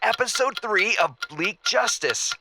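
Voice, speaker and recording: A man's voice: high-pitched, expressive, unhurried, very noisy. A man playing a middle-aged actor, a genial, modest and slightly uneasy radio guest who speaks politely and hesitantly. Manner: polite, hesitant, nervous chuckles. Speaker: guest on a radio quiz show. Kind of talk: audio drama in radio show style.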